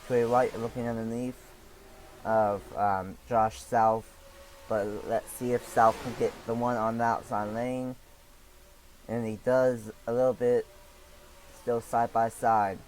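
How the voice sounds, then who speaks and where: A young man commentates a sim race lap by lap, his voice a little raspy from allergies. He talks in halting bursts full of fillers and restarts, over a faint hum of ambience. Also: medium pitch, level tone, darkish tone, low-quality recording. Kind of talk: play-by-play sim racing commentary.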